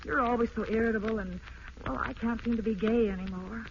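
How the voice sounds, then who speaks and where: An actress playing an unhappy, earnest young housewife who pleads and hesitates, in 1940s radio drama style. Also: female voice, a little high, steady tone, fairly quick, natural voice. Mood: unhappy, pleading. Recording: muffled, hissy old radio broadcast.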